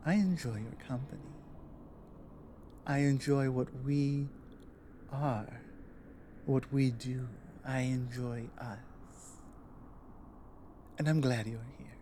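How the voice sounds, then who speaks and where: A woman playing a guarded but loyal female orc warrior in an ASMR roleplay, speaking in a halting, earnest, plainly worded way. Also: extremely low pitch, fairly even intonation, mellow, slight background noise. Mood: earnest, tender.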